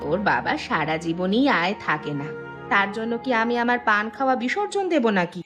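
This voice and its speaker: A stubborn village mother played by a woman in a cartoon tale. Her medium-pitched voice is offhand and grumbling yet fond, over faint music. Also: sing-song, brisk, fairly bright tone. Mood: stubborn, dismissive. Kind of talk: animated village story dialogue.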